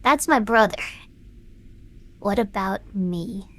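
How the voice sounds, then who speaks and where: A woman's voice: mid-range voice, animated, slow pace. A woman playing a hurt, combative young streamer in an audio drama, talking in a sarcastic, confrontational way. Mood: bitter.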